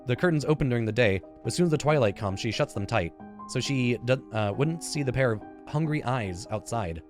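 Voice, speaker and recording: A man's voice: medium pitch, expressive, brisk. Man reading game story aloud over music. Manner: informal, stumbles and rereads words. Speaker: gaming YouTuber, let's player. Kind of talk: reading visual novel narration aloud with casual comments. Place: indoor room, seated at a computer.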